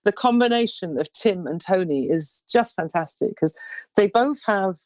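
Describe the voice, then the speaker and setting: A woman in her sixties, a singer with a low baritone voice, telling stories in a rambling interview chat with many restarts. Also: lively intonation, normal speed, no echo. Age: 60s. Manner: rambling, warm storytelling with restarts.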